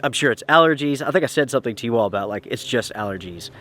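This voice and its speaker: A man's voice: mid-range voice, racing, slight background noise. Nasal man vlogging from a campsite. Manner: relaxed, chatty, addresses viewers directly. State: nasal from the flu. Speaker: outdoor vlogger. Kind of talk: casual talk to camera. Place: outdoors at a campsite. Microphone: camera-mounted shotgun mic.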